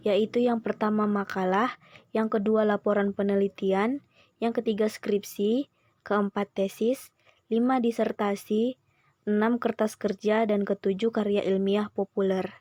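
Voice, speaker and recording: A young woman reads out a student presentation in a methodical way. Her voice is mellow and stays fairly flat in pitch. The sound is dry and close, with very little room. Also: unhurried, no room sound. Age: young adult. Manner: methodical, enumerating points. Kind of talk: scripted educational presentation.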